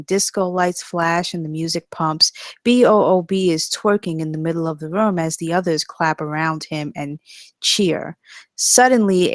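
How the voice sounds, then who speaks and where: A woman's voice: on the low side, no echo. A woman reading scripted stage directions as the narrator.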